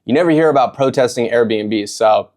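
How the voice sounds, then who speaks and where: A man's voice: medium pitch, normal speed, darkish tone. Casual opinionated male commentator. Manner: casual, opinionated, frequent fillers and restarts. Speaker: video host reacting to own protest footage. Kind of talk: reaction commentary.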